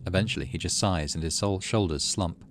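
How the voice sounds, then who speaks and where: Male gaming host, deep register, story narration with offhand commentary, music underneath. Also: brisk, a bit bright. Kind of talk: reading visual novel text aloud with casual gameplay commentary.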